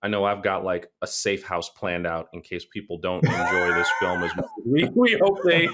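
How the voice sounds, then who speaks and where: A man's voice: deep voice, lively intonation, moderate pace, heavy background noise. A man from Brooklyn in a casual podcast chat, playful and self-mocking. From Brooklyn, New York. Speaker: podcast guest, film director.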